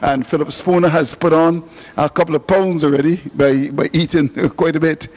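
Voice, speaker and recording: A man's voice: fairly high pitch, steady tone, normal speed, darkish tone. A man hosting cricket radio, giving on-air thanks with jovial, laughing asides. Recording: dull broadcast audio.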